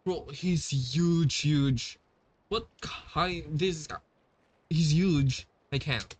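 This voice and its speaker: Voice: mid-range voice, extremely slow, husky. A streamer giving casual, informal and gushing reactions while playing a video game. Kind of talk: casual gameplay reaction commentary. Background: game music.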